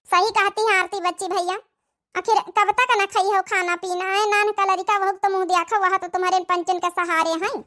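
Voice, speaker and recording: A woman's voice: very high voice, fairly even intonation, normal speed, sharp tone. A woman voicing a practical village sister-in-law in a cartoon, giving persuasive advice in an Awadhi accent. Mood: gently advising. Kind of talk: dramatic cartoon dialogue in dialect.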